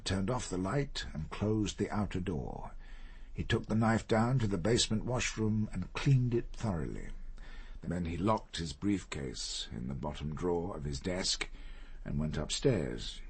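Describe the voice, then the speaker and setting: An actor playing a proud, reserved older gentleman in a radio drama, speaking formally and deliberately, with guilt under the surface. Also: low-pitched, slightly rough, full character voice.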